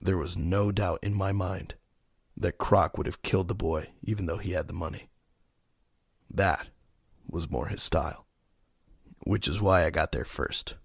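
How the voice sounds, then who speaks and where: A man playing a grim masked vigilante detective in an audio drama, terse and stern, with a gravelly, husky voice. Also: deep voice, steady tone, measured pace, pauses often, no room sound, clean studio sound, heavily acted. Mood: brooding. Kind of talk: audio drama narration and dialogue.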